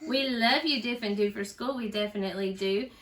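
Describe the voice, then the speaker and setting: A woman voicing excitable schoolchildren from a storybook, exclaiming and chanting. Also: mid-range voice, brisk, close to an everyday voice. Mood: gleeful.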